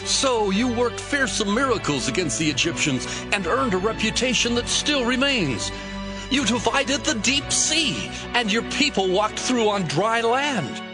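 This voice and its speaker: An actor playing an impassioned Levite praying in a solemn, oratorical dramatized scripture reading. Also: male voice, extremely high pitch, big pitch swings, measured pace, over music, exaggerated voice. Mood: solemn awe. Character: impassioned male Levite recounting God's deeds in prayer.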